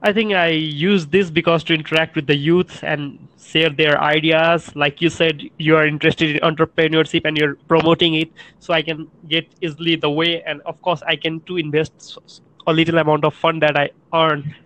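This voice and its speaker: A man's voice: a little high, level tone, a bit bright, no room sound, rough recording. Nepali-accented man speaking careful English. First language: not English. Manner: careful, halting non-native phrasing. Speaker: college lecturer. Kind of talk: casual conversation.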